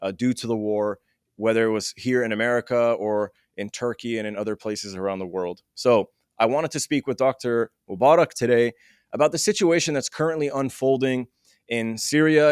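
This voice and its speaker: A man hosting an interview, setting out context and questions in a measured, explanatory way. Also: medium pitch, smooth, dry recording, studio quality. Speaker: interviewer, host.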